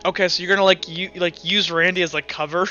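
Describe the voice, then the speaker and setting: A man with a high, forceful voice runs a tabletop roleplaying game. He explains rules and scenes casually, often stopping to rephrase. The sound is dull and lo-fi, with faint music underneath. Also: steady tone. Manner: casual, halting explanations with self-corrections. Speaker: game master.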